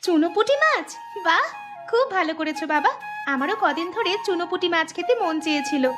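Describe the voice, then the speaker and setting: Woman as a very high-pitched, dutiful village girl. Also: lively intonation, brisk, over music. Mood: affectionate, cheerful. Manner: earnest, coaxing. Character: caring, dutiful young village daughter, gently nagging. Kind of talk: animated village story dialogue.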